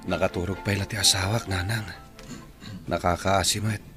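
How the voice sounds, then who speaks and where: A man's voice: deep voice, steady tone, moderate pace, over music, lightly acted. A man playing a devoted, sorrowing husband in a radio drama. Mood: sorrowful, devoted. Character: devoted, sorrowing young husband confiding in his mother.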